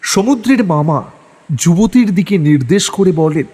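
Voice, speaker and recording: A male narrator tells a dramatic horror story from a script. His voice is fairly high and husky, with a long reverb trailing it. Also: lively intonation, measured pace, darkish tone.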